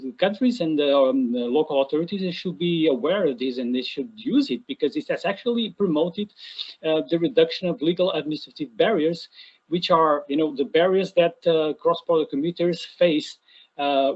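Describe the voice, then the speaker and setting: A man from Portugal, an academic answering a question in an online session, who talks haltingly with many fillers and restarts. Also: fairly high pitch, breathy edge. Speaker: academic presenter. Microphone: video call. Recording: dull lo-fi video call audio.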